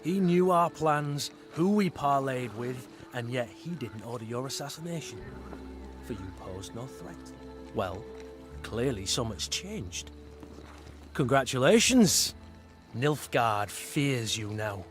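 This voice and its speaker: An actor playing a cocky rogue companion in a video game, talking in a wry, casual, persuasive manner. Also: male voice, medium pitch, expressive, takes it slowly. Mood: persuasive, sly. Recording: music behind the voice.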